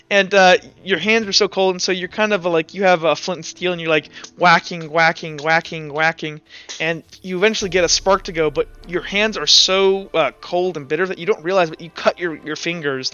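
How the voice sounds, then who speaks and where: A man's voice: on the high side, pushed and bright, smooth. A man working as game master, improvising the narration of a tabletop roleplaying game in a casual way. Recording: slightly dull audio, music underneath. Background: background music.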